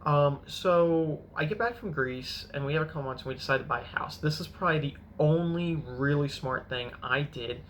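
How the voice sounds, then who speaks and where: A man's voice: a little high, moderate pace, hardly any pauses, a bit bright, a little hiss or hum. A man in his thirties telling his own money story in a casual, rambling vlog, often correcting himself mid-sentence. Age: 30s. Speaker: finance vlogger.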